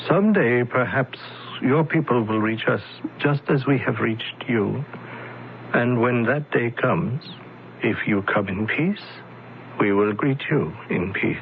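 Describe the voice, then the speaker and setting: An actor playing a calm, solemn male alien envoy in a radio drama, speaking slowly and formally. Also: medium pitch, vocal fry, a little hiss or hum. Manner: slow, measured, formal. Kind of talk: 1970s radio drama acting. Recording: dull lo-fi old radio broadcast.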